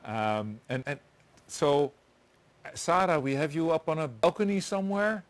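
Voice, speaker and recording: A man's voice: on the high side, moderate pace. A man hosting a live online interview, talking with many restarts and light chuckles. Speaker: host. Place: home set with doors open.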